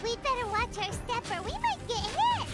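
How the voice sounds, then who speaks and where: A tiny fairy sidekick from a video game, extremely high and squeaky like a small child. The delivery is excitable and swoops up and down, with loud music playing behind the voice. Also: female voice, moderate pace. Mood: alarmed.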